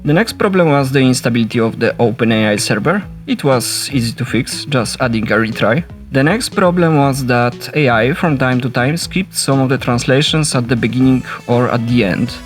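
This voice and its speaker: A man from Poland, a native Polish speaker with a Polish accent, narrating a devlog about his game in a steady, explanatory way. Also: mid-range voice, moderate pace, hardly any pauses, soft background music. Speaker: solo indie game developer.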